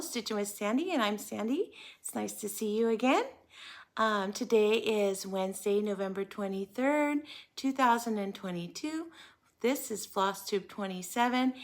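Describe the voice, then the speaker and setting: A woman's voice: mid-range voice, a little slow. An American woman chatting casually to viewers as host of a hobby vlog, in a friendly, talkative manner. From United States. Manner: chatty, friendly greetings and asides. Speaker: host of a cross-stitch video channel.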